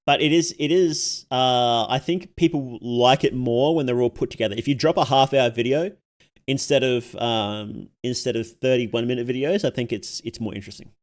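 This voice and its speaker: A man's voice: medium pitch, normal speed. A man hosting a livestream, reacting casually to videos in a rambling, informal, slangy way.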